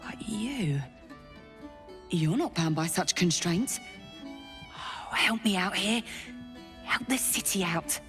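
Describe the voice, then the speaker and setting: An earnest, dogged city guard investigator in a fantasy game. She speaks at a middle pitch, deliberate and confiding, with real intensity. Music plays behind her. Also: lively intonation, a bit bright. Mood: urgent, frustrated. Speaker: video game character. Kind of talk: dramatic game dialogue. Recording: background music under the voice.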